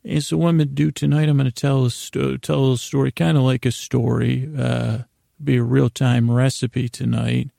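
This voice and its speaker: A man hosting a bedtime podcast in a gravelly voice, rambling in a halting, self-deprecating way that trails off mid-sentence to lull listeners to sleep. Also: mid-range voice, level tone, dark tone, dry recording, studio quality. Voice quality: gravelly, creaky. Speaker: podcast host.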